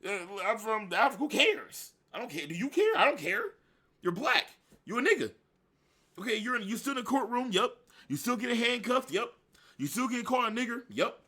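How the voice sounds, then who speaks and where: A man ranting casually in heavy slang and profanity, animated and blunt. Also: very high voice, fairly quick. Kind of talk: casual rant.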